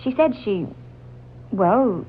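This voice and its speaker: An actress playing a breezy, inquisitive wife and friend in a 1940s radio drama. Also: female voice, mid-range voice, animated, slow pace. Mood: curious. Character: chatty, curious wife and matchmaking friend. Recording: tinny, hissy old 1940s radio recording.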